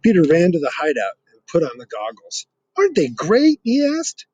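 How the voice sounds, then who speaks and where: A man's voice: a little high, sing-song, fairly quick, darkish tone, lo-fi sound, slight background noise. A middle-aged man reading a children's story aloud expressively, with dramatic questioning asides to young listeners. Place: outdoors in a garden.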